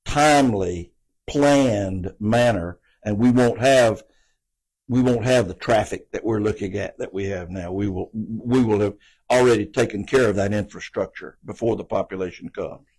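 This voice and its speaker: Earnest older man, low voice. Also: normal speed, a little mellow, low-quality recording. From American South. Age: 80s. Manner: earnest, folksy, repeats words often. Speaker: city council candidate. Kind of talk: interview answers.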